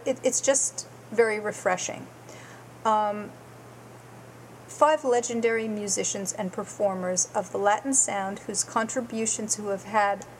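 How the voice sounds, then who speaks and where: A woman hosting a guitar show reads her prepared segment in an earnest, careful way, taking lists item by item. Her voice sits at a middle pitch, with a faint noise behind it. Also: rough recording.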